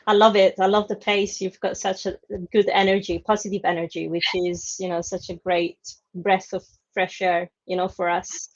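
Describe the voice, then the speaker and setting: A woman hosting an online community event, speaking with warm, enthusiastic praise. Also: medium pitch, flat delivery, moderate pace. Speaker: community host. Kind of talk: casual chat. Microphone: video call. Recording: dull lo-fi call audio.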